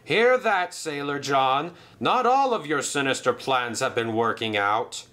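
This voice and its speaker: Fussy railway boss, proper diction, raised pitch, animated; a man voices him. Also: takes it slowly, heavily acted. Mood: scheming. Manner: proper, exclaiming.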